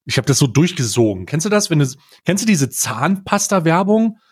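This is a man with a voice a little higher than most men's. He hosts a comedy podcast and chats casually, with a jokey, slangy and informal way of talking. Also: sing-song, a little fast, darkish tone, husky. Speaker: podcast host.

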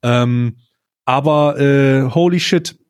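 A man hosting a casual comedic podcast, talking in a halting, informal way with bits of English slang. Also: medium pitch, clean tone, no echo, studio quality. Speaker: podcast host.

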